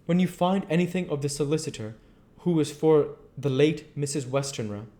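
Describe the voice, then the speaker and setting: A man voicing an elderly foreign professor, speaking in earnest, halting phrases at a raised pitch. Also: mellow, lightly acted.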